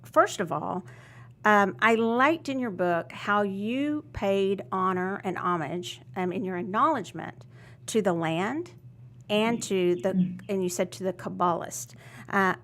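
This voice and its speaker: A woman's voice: medium pitch, lively intonation, measured pace, slight background noise. Chatty East Texas woman host. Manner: warm, chatty, hesitant, many restarts. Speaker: podcast host. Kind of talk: casual interview chat.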